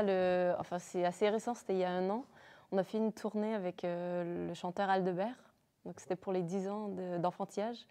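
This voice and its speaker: Woman, forties, native English speaker, from Canada, gravelly voice, mellow tone, hesitant. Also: fairly low pitch. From English-speaking Canada, near Montreal. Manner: hesitant, many fillers. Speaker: circus performer and teacher. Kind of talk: interview answers.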